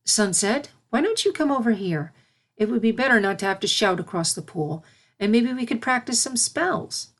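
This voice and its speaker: Lady playing a motherly pony princess in a storybook, regal but gentle and measured. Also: a little high, animated, voice pushed up. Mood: gentle coaxing. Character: caring adult alicorn princess, regal and motherly mentor.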